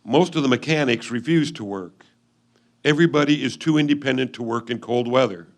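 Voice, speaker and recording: Guy acting a lonely pioneer husband reading his letter out loud, earnest and measured, pauses for drama, mid pitch. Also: natural voice. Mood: weary, frustrated. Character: lonely 1850s frontier husband writing home to his wife. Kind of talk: reader's theatre letter reading.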